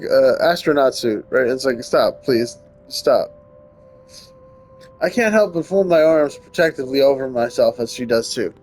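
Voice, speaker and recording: Higher-voiced man reading a visual novel aloud. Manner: nitpicking, wry asides. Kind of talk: reading narration aloud with casual riffing commentary.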